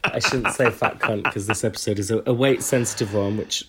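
A man co-hosting a podcast, talking in crude, joking banter with a hoarse voice that is still recovering. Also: on the low side, steady tone, brisk, background noise. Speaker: podcast co-host. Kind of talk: casual comedic chat.

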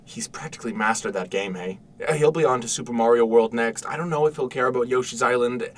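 A man playing an eager, boyish, gentle father in an audio drama, explaining things with hesitant enthusiasm. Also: medium pitch, fast, natural voice. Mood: gentle, fond. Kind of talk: naturalistic audio drama acting. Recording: distant mic, noisy room sound.

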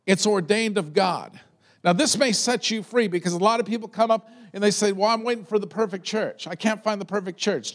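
A male pastor preaching a conversational sermon in a loud voice, often repeating words as he builds a point. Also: high-pitched.

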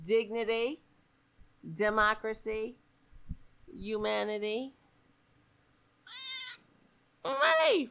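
Slow, gravelly woman hosting a talk show. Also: fairly high pitch, a bit bright, a little hiss or hum. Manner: rambling, sarcastic, talks to her cat. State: hoarse, losing her voice. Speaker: political talk show host. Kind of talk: casual political commentary.

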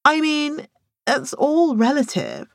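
A mild, easygoing woman from a novel voiced by a female reader, musing aloud to her friends. The pitch is lifted and lilting, the recording dry. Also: expressive, no echo, exaggerated voice. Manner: unhurried remarks to no one in particular.

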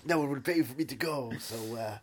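A man putting on a jokey film actor in improvised comic banter, husky, rambling and giggly. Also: medium pitch, brisk, a little hiss or hum, lightly acted. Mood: playful, rambling. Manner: playful, rambling, frequently breaking into giggles.

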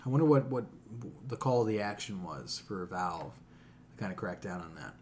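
A man's voice: deep voice, animated, normal speed, darkish tone. A man co-hosting a podcast with blunt, teasing, opinionated banter and plenty of casual swearing. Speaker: podcast co-host.

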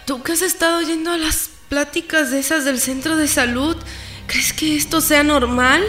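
An ailing, naive pregnant countrywoman in a radio drama. Her voice is high, in an echoey room with faint music. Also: steady tone, moderate pace, sharp tone. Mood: uneasy, puzzled. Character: Francisca, naive ailing pregnant rural woman. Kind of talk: Spanish-language radio drama acting.

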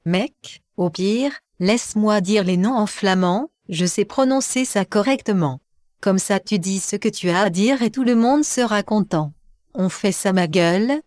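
A woman's voice: medium pitch, a little slow, darkish tone, no room sound, studio quality, natural voice. A synthetic woman's voice playing a cheeky, disembodied computer voice that talks in overly familiar slang and mocks the others. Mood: cocky. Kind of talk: comic interjections in a podcast.

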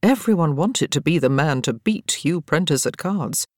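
A woman with an English accent reads literary narration for an audiobook in a measured, wry storytelling manner. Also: deep voice, lively intonation, a little mellow, dry recording, studio quality.

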